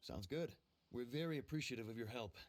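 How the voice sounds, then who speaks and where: A man's voice: medium pitch, expressive, a little fast, slight background noise, lightly acted. A man playing a courteous, authoritative law officer in a video game, speaking formally. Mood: official, courteous. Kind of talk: video game story voice acting.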